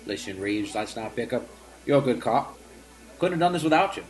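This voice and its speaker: An actor playing a confident, brisk government agent in an old-time radio drama. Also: male voice, mid-range voice, animated, natural voice, rough recording. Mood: conciliatory. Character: confident, brisk federal agent praising a colleague. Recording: music bed behind dialogue.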